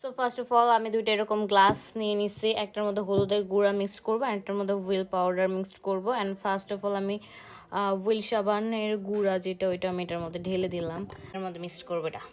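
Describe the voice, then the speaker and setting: A young woman with a Bangladeshi accent talks in a casual, excited, chatty vlog style, mixing in English. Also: medium pitch, fast talker. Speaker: vlogger. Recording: noticeable background noise, clicks.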